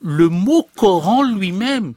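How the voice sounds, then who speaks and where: A man's voice: high voice, animated, slow, a little mellow, dry recording, studio quality. A man hosting an interview, adding short glosses and posing follow-up questions to his guest. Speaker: host.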